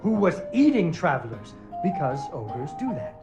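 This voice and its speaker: A man's voice: a little high, big pitch swings, normal speed. A man voicing a playful puppet-show storyteller in a video game, telling a fairy tale in a theatrical, sing-song way, with music playing underneath. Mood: storytelling.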